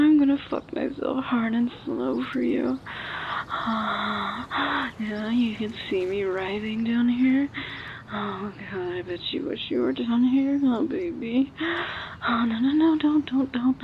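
A woman playing an amorous, coaxing adult lover in an intimate audio roleplay, breathy and often whispering. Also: fairly high pitch. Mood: coaxing, aroused. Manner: breathy, often whispering, sighing, drawn-out delivery. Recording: muffled, very noisy recording.

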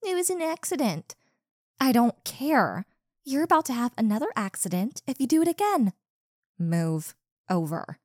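A young woman playing a rude, insecure popular college girl in a one-sided roleplay, sharp-tongued and defensive, stumbling over her words. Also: medium pitch, very animated, slow pace, long pauses, no room sound, studio quality, exaggerated voice. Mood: hostile, irritated. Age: young adult.

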